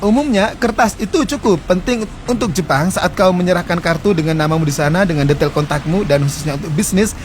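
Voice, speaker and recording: A man in his forties explaining customs in a casual interview, quick and earnest. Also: sits high, slight background noise. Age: 40s. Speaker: interview guest.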